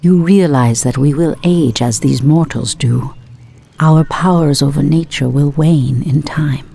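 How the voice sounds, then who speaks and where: A woman's voice: deep voice, measured pace, gravelly, warm tone, breathy edge, heavily acted. A woman playing a proud, stern night elf priestess in a video game, imperious, cool and formal. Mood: grave. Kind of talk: dramatic video game cutscene acting. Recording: faint background ambience.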